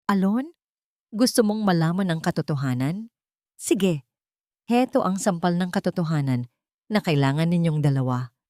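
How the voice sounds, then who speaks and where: A woman's voice: fairly low pitch, animated, normal speed, long pauses, dark tone, no room sound, studio quality, natural voice. A woman voicing a wronged wife in a dramatic story, cold, threatening and resolute as she accuses. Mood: defiant. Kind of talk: dramatic storybook dialogue reading.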